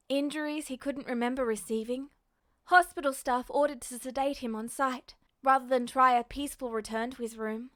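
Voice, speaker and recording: A woman's voice: a little high, fairly bright tone, no echo, clean studio sound. A woman reading scripted fiction as an audiobook-style narrator, expressively voicing a character's questioning inner thoughts.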